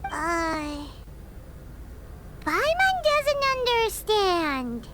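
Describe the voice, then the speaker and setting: A woman voicing a tiny, excitable, childlike fairy companion in an anime game, squeaky and perky. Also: very slow, very noisy, heavily acted. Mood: confused. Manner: refers to self in third person, perky. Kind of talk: anime game character acting.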